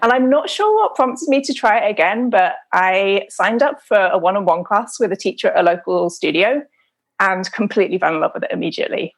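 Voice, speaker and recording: A middle-aged woman from the UK with a British accent softened by years in America, telling her story casually as an interview guest. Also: medium pitch, brisk. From United Kingdom. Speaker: interview guest, photographer and potter.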